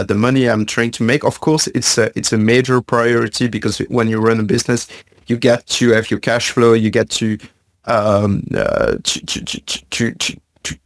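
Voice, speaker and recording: A man from Belgium speaks as a podcast guest, thinking out loud and circling back over his words with many small pauses and fillers. His voice sits at an ordinary middle pitch. The recording is dry and close, with no room sound. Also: dry recording. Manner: thoughtful, halting, frequent fillers and repeated words. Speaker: podcast guest, science communicator. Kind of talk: conversational interview answer.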